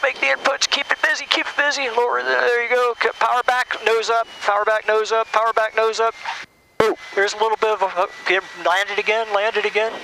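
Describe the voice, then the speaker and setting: A man working as a flight instructor, coaching a student in a small plane cockpit with brisk, repeated, encouraging commands over a headset. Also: very high-pitched, creaky, pushed and bright, slight background noise, poor audio.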